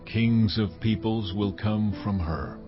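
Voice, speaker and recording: A man's voice: on the low side, takes it slowly. A man playing a solemn, majestic God in a dramatized scripture reading, speaking in a stately, declamatory way. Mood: solemn, promising. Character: almighty God, solemn, commanding, majestic male deity. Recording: dull lo-fi sound, music underneath.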